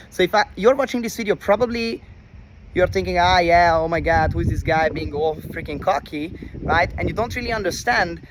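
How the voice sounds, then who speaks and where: Brash young man with a high voice. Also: slight background noise, low-quality recording. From Hungary. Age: 20s. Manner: brash, repetitive, tags sentences with "right?". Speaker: online business coach. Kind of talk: motivational direct-to-camera talk. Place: rented villa abroad.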